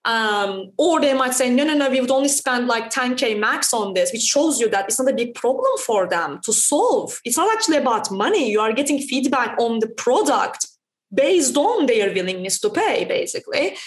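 A young adult woman from Turkey talks as a startup founder on a podcast, pushing her points fast and emphatically and checking in with a quick "right?". Her voice sits fairly high. The room around her gives a noticeable echo. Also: expressive. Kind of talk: podcast interview answers.

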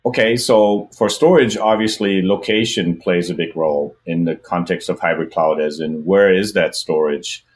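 Male podcast host, low voice, relaxed, thinks aloud in long questions. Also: normal speed, few pauses, a little hiss or hum. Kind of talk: podcast interview hosting.

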